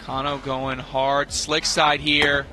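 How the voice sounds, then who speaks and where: A man's voice: medium pitch, monotone, slow pace, sharp tone. A man working as a color commentator on a live championship broadcast, explaining the stakes with dramatic emphasis. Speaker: color analyst sports commentator. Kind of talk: live TV analysis of a cornhole championship. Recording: clear venue noise behind the voice. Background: noisy venue ambience.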